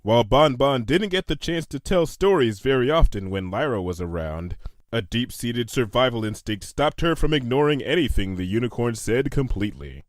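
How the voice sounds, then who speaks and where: A man narrates a comedic story in a scripted reading style. His pitch is expressive and varied, and the recording is very dry, with no room sound. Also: moderate pace, dry recording.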